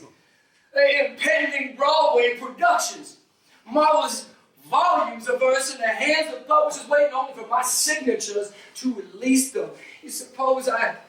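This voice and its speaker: A man playing a shabby, impassioned, self-deluding writer on stage, with florid, halting theatrical speech. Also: extremely high pitch, a bit bright, heavily acted. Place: small theater studio, live performance. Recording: echoey stage, distant mic.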